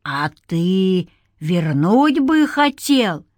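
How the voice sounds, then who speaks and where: A woman voicing an ancient male undead forest demon in a storybook, with a deathly, hushed, insinuating and mocking delivery. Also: lowish voice, big pitch swings, no room sound, studio quality, exaggerated voice. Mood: menacing. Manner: slow, mocking, menacing.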